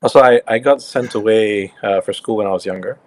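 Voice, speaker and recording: A Singaporean man in his late thirties, with a slightly English-flavoured accent. His voice sits on the low side, and he talks in a relaxed, easygoing way with plenty of fillers and restarts, like a guest on a video-call interview. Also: fairly quick. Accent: slightly English-tinged Singaporean. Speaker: guest, crypto company co-founder. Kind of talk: casual interview chat.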